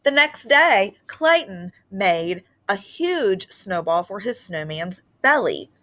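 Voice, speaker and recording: A woman teacher reading a picture book aloud to her class in an expressive, sing-song way. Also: mid-range voice, takes it slowly, a little hiss or hum. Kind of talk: storybook read-aloud for children.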